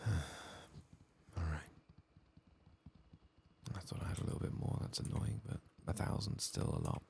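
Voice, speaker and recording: A man doing soft-spoken, whispered ASMR game commentary in a hushed, breathy, gravelly voice, murmuring without hurry. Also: sits low, animated, a little slow, pauses often, background noise. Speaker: ASMR game playthrough host.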